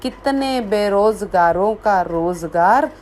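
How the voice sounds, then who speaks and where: A woman reciting a poem she wrote herself, in a measured voice that repeats lines for emphasis, after a short spoken introduction. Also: mid-range voice, animated, a little mellow, slight background noise. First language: Hindi. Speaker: poet. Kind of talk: slow recited poetry.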